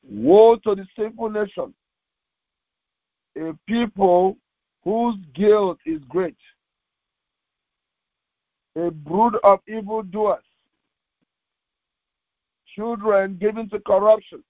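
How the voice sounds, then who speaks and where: A man preaching as a pastor, reading scripture aloud in a solemn, deliberate way with short halting phrases, over a narrow phone-like recording. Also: high voice, no room sound. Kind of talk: devotional sermon with slow scripture reading aloud. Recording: tinny, phone-like narrowband audio.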